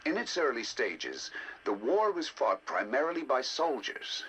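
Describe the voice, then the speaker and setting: An actor playing a scholarly, intrigued scientist who narrates scripted game audio logs in a deliberate, theatrical, musing way. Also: male voice, medium pitch, very animated, fairly bright tone, slight background noise, poor audio. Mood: grave, explanatory. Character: scholarly male scientist, intrigued by ancient alien data. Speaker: researcher narrating decoded alien history logs.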